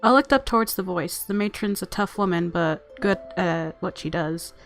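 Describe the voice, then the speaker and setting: A woman's voice: on the low side, moderate pace, faint music behind. A woman narrating a visual novel aloud as a let's-player, mixing story reading with casual commentary.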